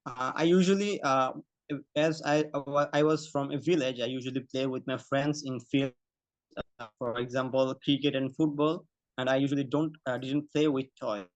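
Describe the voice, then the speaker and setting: A man's voice: mid-range voice, steady tone, moderate pace, no room sound. A young man from Bangladesh with a Bangladeshi accent, answering exam questions over a video call with many fillers and restarts. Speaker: test candidate. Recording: slightly muffled video call audio.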